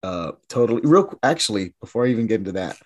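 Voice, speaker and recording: A man co-hosting a podcast in casual chat, his voice raspy from a weekend of yelling, rambling and trailing off into mumbles. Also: lowish voice, animated, fast talker, dull audio. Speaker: podcast co-host.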